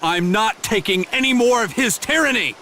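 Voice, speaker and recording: An actor playing a brash, determined young warrior in a fantasy video game, with a blunt, casual, emotive way of talking. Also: male voice, high voice, expressive, normal speed, pushed and bright, a little hiss or hum. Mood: defiant, determined. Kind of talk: video game cutscene voice acting.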